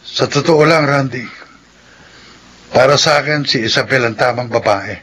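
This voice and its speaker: A man's voice: medium pitch, normal speed, a bit bright, a little hiss or hum, lightly acted, poor audio. A man playing an earnest, heartbroken young man in love in a Filipino radio drama. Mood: dejected. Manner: earnest, lovelorn.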